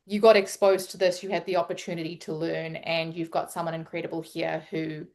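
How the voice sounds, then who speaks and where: A woman's voice: deep voice, level tone, fairly quick, darkish tone. A woman co-hosting a casual group talk, speaking in an encouraging, upbeat way with many fillers. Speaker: co-host educator. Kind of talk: casual motivational chat.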